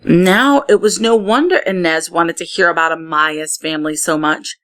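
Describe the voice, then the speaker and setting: A woman narrating a children's story in an expressive read-aloud style, putting emphasis on key words. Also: lowish voice, moderate pace. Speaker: story reader.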